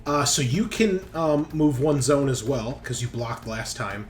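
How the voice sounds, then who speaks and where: A man's voice: medium pitch, animated, measured pace, faint music behind. A man working as game master in a tabletop roleplaying game, casually explaining rules and narrating the action step by step in an informal way.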